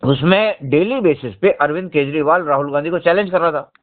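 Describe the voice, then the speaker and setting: High-pitched man on a phone line. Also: fairly quick. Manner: insistent, explanatory, addressing the listener as brother. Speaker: journalist discussing politics. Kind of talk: casual political phone chat. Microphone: phone call. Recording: tinny, narrowband phone call recording.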